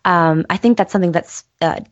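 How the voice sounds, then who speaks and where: A woman's voice: fairly low pitch, brisk, dry recording. A woman on a podcast panel discussing film casually, in a tentative, thoughtful way. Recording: dull, dry lo-fi audio.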